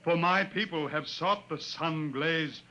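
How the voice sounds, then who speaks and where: Piercingly bright, solemn alien tribesman. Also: a little high, measured pace. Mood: grave, wondering. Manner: formal, solemn, ceremonious phrasing. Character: proud, grave alien mountain tribesman, a potter. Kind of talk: 1950s radio drama acting. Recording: noisy vintage radio recording.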